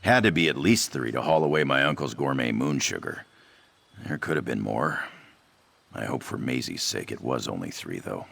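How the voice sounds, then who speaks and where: A man's voice: very deep voice, expressive, moderate pace, creaky, a bit bright, husky, heavily acted. A man voicing an anxious, self-doubting young cat-man trader in a fantasy video game, fretful and hesitant. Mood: troubled, recounting.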